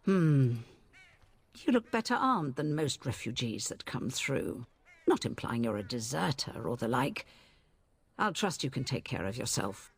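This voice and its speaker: An actress voicing a weary, gruff older woman, a plain-spoken village elder in a video game, with a raspy voice. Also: extremely low pitch, big pitch swings, a little slow, no echo, exaggerated voice. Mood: wary, brusque. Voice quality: very husky, raspy.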